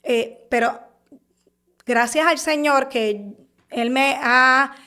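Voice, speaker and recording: A woman in her thirties speaks with a Puerto Rican accent in a high, light voice. She is a podcast guest giving her testimony, stumbling, restarting and repeating words as she goes. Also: sharp tone. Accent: Puerto Rican Spanish. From Puerto Rico. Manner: halting, repeats and restarts words while recounting.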